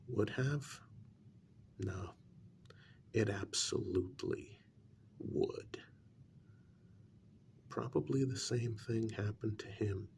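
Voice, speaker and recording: A man playing an intense, devoted human familiar in a soft-spoken ASMR role-play, speaking in a slow, hushed, deliberate half-whisper with gravel in it. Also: on the low side, a little hiss or hum. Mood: somber, musing.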